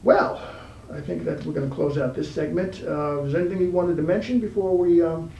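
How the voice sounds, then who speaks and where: A man hosting an informal interview show, speaking haltingly with frequent pauses mid-sentence. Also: fairly high pitch, steady tone, creaky, warm tone, lo-fi sound. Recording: roomy, distant mic, faint background noise.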